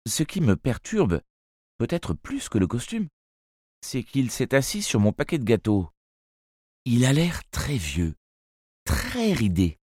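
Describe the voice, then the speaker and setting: Man, audiobook narrator, low voice, lively intonation, slow pace, dry close recording. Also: husky, no room sound. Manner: measured, expressive storytelling. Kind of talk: scripted narration of a children's novel.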